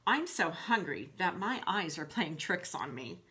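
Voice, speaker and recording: A woman's voice: lowish voice, big pitch swings, measured pace, sharp tone, exaggerated voice. A woman voicing a big, proud and determined male wolf in a storybook read-aloud, with a growling, boastful manner. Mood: hungry, musing.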